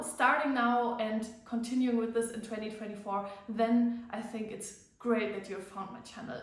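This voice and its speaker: A woman with a German accent, a native German speaker, chatting casually to camera as a fitness vlogger, with a self-conscious, filler-filled manner. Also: on the high side. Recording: very echoey room.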